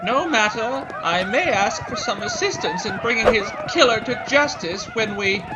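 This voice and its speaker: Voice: mid-range voice, wildly swooping pitch, moderate pace, dull audio, a loud crowd. A performer playing a stern, indignant, well-bred widow in a western audio drama, speaking formally. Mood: bitter. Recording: loud noise and commotion behind.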